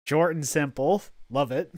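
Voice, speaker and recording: Deadpan guy hosting a podcast, kinda halting, stumbles on words, super dry close mic. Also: a little high, vocal fry, dry recording. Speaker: podcast host. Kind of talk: casual podcast chat.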